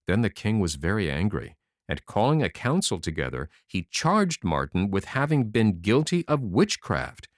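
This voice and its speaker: A man narrating a fairy tale as an audiobook, in an expressive storytelling style. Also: lowish voice, normal speed, no room sound, clean studio sound.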